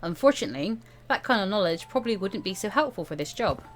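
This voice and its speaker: A woman plays a stern, experienced male mentor in a visual novel. The delivery is measured and condescending, pitched a little below her usual talk, like a superior correcting a student. Faint music plays underneath. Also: expressive, lightly acted. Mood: dismissive. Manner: measured, lecturing.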